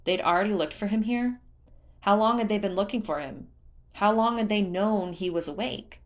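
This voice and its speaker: A woman's voice: mid-range voice, normal speed, pitched above the performer's own voice, close to an everyday voice. A woman voicing an anxious, hesitant young prince in a storybook reading, sounding uneasy. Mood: demanding.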